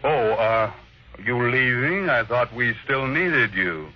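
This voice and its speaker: An actor playing a ruthless, imperious older millionaire in a 1950s radio drama, brusque and commanding. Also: male voice, mid-range voice, lively intonation, slow. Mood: cool, unruffled. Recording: lo-fi old radio broadcast.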